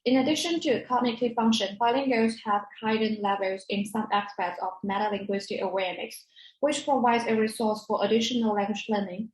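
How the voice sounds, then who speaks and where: A woman's voice: on the high side, fairly even intonation, brisk. A woman student presenter reading a prepared academic presentation in a formal manner. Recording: roomy, echoey sound.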